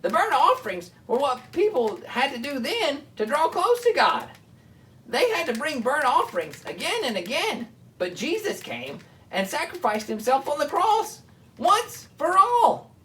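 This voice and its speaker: A woman hosting a children's Bible show, explaining things simply and chatting along in an animated way. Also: high-pitched, measured pace, far from the mic, a little hiss or hum.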